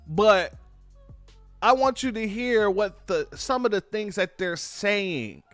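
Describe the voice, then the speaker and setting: A high-voiced man hosts his own commentary, pressing his points hard and repeating them in an informal, emphatic way. The sound is dry and close, with faint music underneath. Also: expressive, slow pace, a bit bright, no room sound. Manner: emphatic, repetitive, informal, addresses viewers as family. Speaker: commentary channel host. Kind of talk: political commentary on clips.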